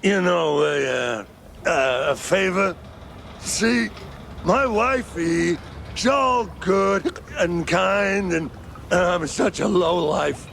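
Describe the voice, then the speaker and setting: A man's voice: high-pitched, very slow, vocal fry, a bit bright, a little hiss or hum, full character voice. A man voicing a self-loathing drunkard husband in a cartoon game, hesitant and maudlin as he pleads. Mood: sheepish, pleading.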